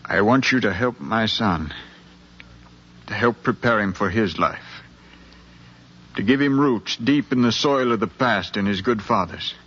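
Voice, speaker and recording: An actor playing an earnest, insistent middle-aged son pleading with his mother, in a deliberate, impassioned American radio drama style from the 1950s. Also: on the low side, a bit bright, breathy edge. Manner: impassioned, deliberate, speechlike with repeated phrases. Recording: dull 1950s radio recording, music.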